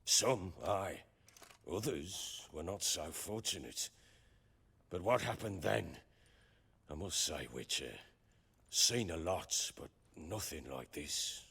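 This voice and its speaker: An actor playing a blustering, hearty baron in a dramatic video game, with a gravelly voice and emphatic rustic speech. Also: male voice, deep voice, expressive, slow, long pauses, a bit bright, exaggerated voice. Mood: grim, brooding. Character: blustering, hearty male baron, lord of the hall.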